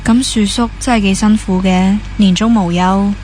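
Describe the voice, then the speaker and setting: A teasing, plain-spoken young woman played by an actress in a radio drama. She banters in natural Hong Kong Cantonese with a medium-pitched voice, over faint noise. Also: steady tone, moderate pace, darkish tone, lightly acted. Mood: questioning. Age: young adult. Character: frank, teasing young woman, a passionate dancer.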